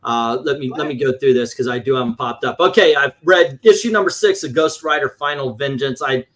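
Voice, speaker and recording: A man's voice: medium pitch, brisk, fairly bright tone. A man reviewing comic books in casual, opinionated panel chat, rambling and swearing, acting out his exasperated reactions.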